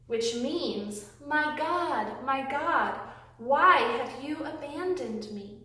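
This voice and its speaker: A woman reading aloud and teaching young children, with simple, deliberate, emphatic phrasing, recorded in a classroom. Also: high-pitched, expressive, pushed and bright. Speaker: children's Bible storytime host, reading aloud. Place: indoors, a classroom. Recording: very echoey room, distant microphone.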